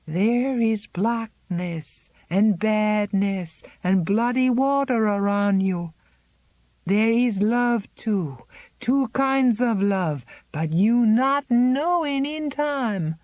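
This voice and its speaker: A grim, gnarled old servant woman, as a woman reader puts her on, chanting her warnings in a slow, ominous sing-song. She speaks broken English sprinkled with French words, in a raised, dragging voice. The recording is close and dry. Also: medium pitch, darkish tone, dry recording, voice pushed up, lightly acted.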